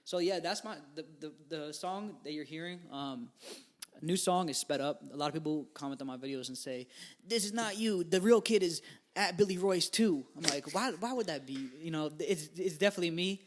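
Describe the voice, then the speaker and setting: A man's voice: a little high, brisk. A young man in his twenties, a musician guest on a podcast, talking casually in a rambling way with lots of fillers and slang. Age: 20s. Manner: rambling, filler-heavy, laid-back slang.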